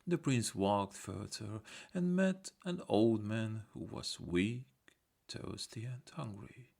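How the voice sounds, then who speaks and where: A man reading a scripted folktale as an audiobook storyteller, in a measured, simple storybook delivery. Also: lowish voice, expressive, gravelly, darkish tone.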